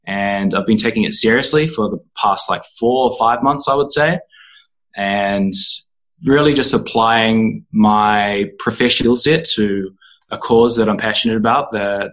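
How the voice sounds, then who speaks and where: A man's voice: lowish voice, moderate pace, fairly bright tone, low-quality recording. A young man with a New Zealand accent, chatting informally as a guest in a casual interview. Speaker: interview guest, animal rights activist.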